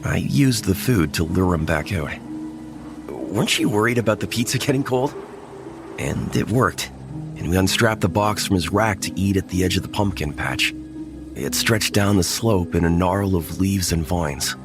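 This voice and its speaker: Deep-voiced man narrating horror. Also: normal speed. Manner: tense first-person storytelling. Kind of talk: horror audio drama narration. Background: music.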